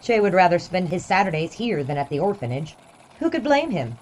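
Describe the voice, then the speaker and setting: An actress playing a wry, affectionate assistant newspaper editor, narrating an audio drama in a dry manner. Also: female voice, on the low side, sing-song, fairly quick, a little mellow, slight background noise. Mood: wry, fond. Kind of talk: scripted first-person drama narration.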